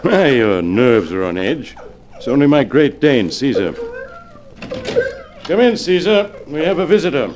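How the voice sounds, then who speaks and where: An actor playing a calm, cunning male scientist in a 1940s radio drama, speaking in a polished, measured way. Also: mid-range voice, background noise. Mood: composed.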